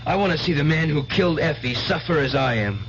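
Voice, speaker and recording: An actor in a radio drama playing a defiant, grieving young nightclub performer obsessed with revenge, intense and insistent. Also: male voice, fairly high pitch, animated, a little fast. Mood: bitter, defiant. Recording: dull, noisy old radio recording.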